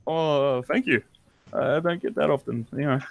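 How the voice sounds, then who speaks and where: A man's voice: fairly high pitch, normal speed, creaky, dry recording. Man with a mixed English-Kiwi accent. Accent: mixed English and New Zealand. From born in England, raised in New Zealand. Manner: self-deprecating, laughs often. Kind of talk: casual group chat.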